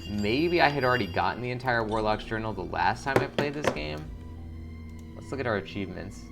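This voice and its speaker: A man's voice: on the low side, animated, brisk, vocal fry, music in the background. A man hosting a gaming video with casual, informal commentary, thinking aloud as he plays. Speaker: gaming channel host.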